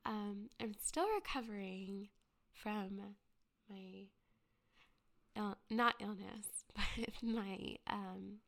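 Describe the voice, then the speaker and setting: A young woman with a stuffy cold, chatting softly and half-whispering as a ghost story podcaster, rambling with many fillers and a girly voice. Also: medium pitch, steady tone, extremely slow, gravelly. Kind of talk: soft whispered casual chat.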